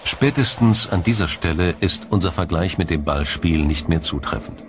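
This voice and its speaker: A man narrating a scripted documentary in a measured, explanatory storytelling manner. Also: sits low, background noise. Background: music.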